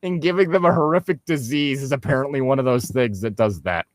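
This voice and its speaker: A man's voice: mid-range voice, brisk, dry recording. A sarcastic, sweary man bantering and reading amateur fiction aloud in a mock dramatic style. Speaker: co-host of a comic group reading.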